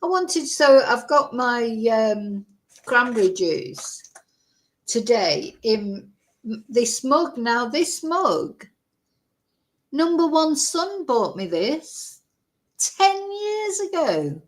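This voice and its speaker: A woman's voice: fairly high pitch, big pitch swings, extremely slow. Host type: rambling, chatty woman, faded Mancunian accent, casual livestream talk into a webcam. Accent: softened Mancunian English. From Manchester, England. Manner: chatty, rambling, self-deprecating. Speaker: true crime channel host. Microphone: webcam or phone camera.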